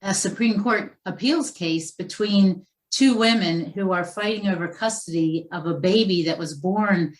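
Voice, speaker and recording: A woman's voice: mid-range voice, measured pace, hardly any pauses, rough recording. A woman novelist being interviewed, talking in a chatty way with many fillers and restarts. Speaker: author guest. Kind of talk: conversational interview.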